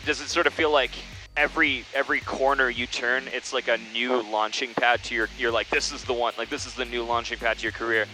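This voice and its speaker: A man's voice: medium pitch, level tone, a little fast, few pauses, pushed and bright, faint music behind, low-quality recording. A man hosting a casual, playful interview, speaking on a headset in a small plane. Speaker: interview host. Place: small plane cabin in flight.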